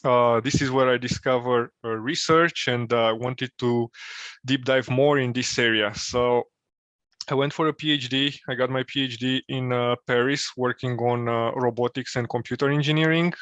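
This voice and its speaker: Male interview guest, thoughtful with many pauses, mid pitch, dull dry video-call sound. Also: steady tone, a bit bright, no room sound. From Romania. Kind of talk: casual career interview.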